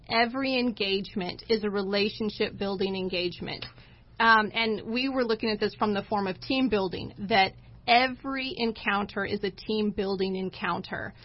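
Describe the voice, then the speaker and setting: Dull-sounding recording of an emphatic woman co-host. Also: mid-range voice. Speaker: talk show co-host. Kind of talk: conversational inspirational talk. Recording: dull, lo-fi audio.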